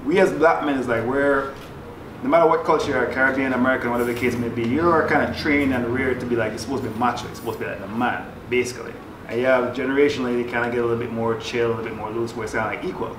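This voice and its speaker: A man from Jamaica, speaking in a casual group discussion, animated and joking. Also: mid-range voice, very fast. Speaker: panelist, writer. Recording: echoey room, distant mic, noise.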